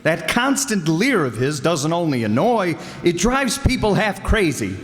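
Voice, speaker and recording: Radio detective hero, male, actor in role: wisecracking, confident, higher-set lively voice, hall reverb. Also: measured pace. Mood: urgent explaining. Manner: breezy, teasing, confident. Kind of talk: old-time radio crime drama acting. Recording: reverberant hall stage performance.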